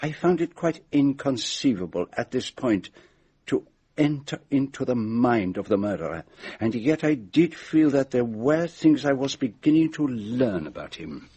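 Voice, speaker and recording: Radio drama: methodical Belgian detective, French-accented, husky, formal, very varied intonation. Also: male voice, mid-range voice, big pitch swings, dark tone. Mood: expository, assured. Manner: formal, deliberate, precise. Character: shrewd, courteous, methodical Belgian male detective.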